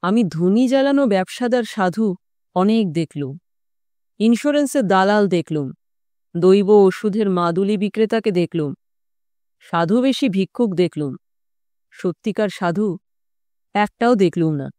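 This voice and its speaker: A woman's voice: medium pitch, lively intonation, a little slow, long pauses, warm tone, no echo, clean studio sound, natural voice. Woman voicing a reflective occultist raconteur. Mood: cynical. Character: male tantric occultist recounting his wandering youth. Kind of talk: audiobook storytelling.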